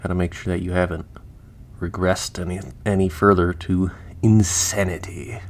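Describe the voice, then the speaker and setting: A man's voice: sits low, flat delivery, normal speed. A man playing a chatty, easygoing surgeon who patches up the undead, explaining casually as he works in a listener roleplay.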